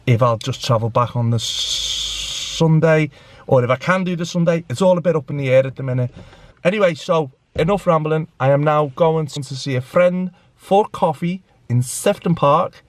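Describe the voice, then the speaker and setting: A young man talks to his camera in a loose, rambling vlog. He pokes fun at himself as he goes. His voice carries a trace of a recent bout of flu. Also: medium pitch. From England. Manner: chatty, self-mocking, rambling. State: recovering from flu. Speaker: vlogger. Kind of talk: casual vlog chat to camera.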